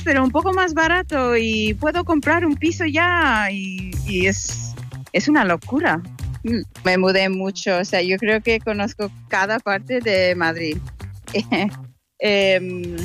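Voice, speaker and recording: A woman's voice: mid-range voice, fairly bright tone. Chatty American woman speaking Spanish. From United States. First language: English. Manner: chatty, mixes English phrases in. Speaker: interviewee. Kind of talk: casual interview.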